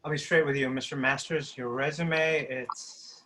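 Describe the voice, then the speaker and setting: A man playing a brisk, pushy office boss in his thirties at a screenplay table read. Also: mid-range voice, steady tone, bright, forceful tone. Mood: distracted, harried.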